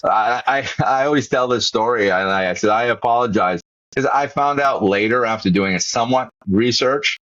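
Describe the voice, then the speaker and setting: A man's voice: mid-range voice, normal speed, a bit bright, dry recording, highs cut off. A man with a Boston accent hosting a podcast interview, chatting casually and rambling with frequent restarts. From Massachusetts, USA. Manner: rambling, many restarts and repeated words. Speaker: podcast host.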